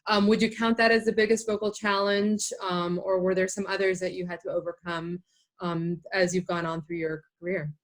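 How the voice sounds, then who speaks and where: A woman's voice: fairly low pitch, flat delivery, normal speed. A woman hosting an interview, friendly and hesitant, with many filler words. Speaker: interview host. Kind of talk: casual interview chat.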